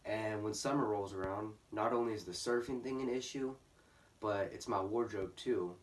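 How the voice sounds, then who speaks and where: A man's voice: lowish voice, normal speed. Teen boy, earnest, distant mic. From California. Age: teens. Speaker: student. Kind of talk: speaking to camera for a school video. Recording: distant mic, faint music behind.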